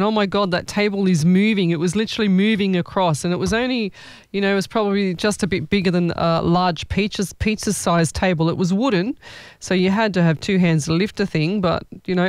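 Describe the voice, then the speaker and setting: A woman's voice: medium pitch, smooth, no room sound. A woman hosting a casual live chat as a psychic medium, talking in an informal, exclaiming way.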